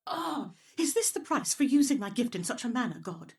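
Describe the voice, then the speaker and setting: A woman's voice: on the high side, very animated, fairly quick, darkish tone, husky, dry recording, clean studio sound, pitched above the performer's own voice, full character voice. A woman voicing a bold, wily tavern seductress in an audio play, coaxing in formal archaic English. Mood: anxious, pleading.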